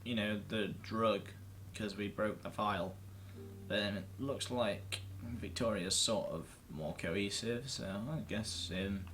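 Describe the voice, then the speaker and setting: A man's voice: fairly low pitch, takes it slowly, fairly bright tone, a little hiss or hum. A man giving casual let's play commentary, thinking aloud in a hesitant way. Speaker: gamer narrating a playthrough.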